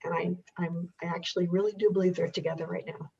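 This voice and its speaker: An older woman with a husky voice, talking reflectively in conversation as a guest about her family's history. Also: fairly low pitch, flat delivery, fast, mellow, slight background noise. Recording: distant, dull muffled microphone.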